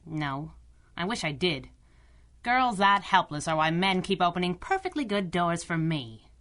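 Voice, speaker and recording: A woman's voice: on the low side, lively intonation, measured pace, fairly bright tone. A woman playing a brash, sarcastic, tough-talking masked heroine's sidekick in a 1930s-style pulp radio drama, slangy and sardonic. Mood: wry.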